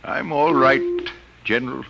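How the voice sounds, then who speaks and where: An actor playing an idealistic, stubborn college professor, earnest and argumentative, in 1950s radio drama style. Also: male voice, mid-range voice, steady tone, a little slow, creaky, background noise. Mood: impatient, confident. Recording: old 1950s radio recording, music behind.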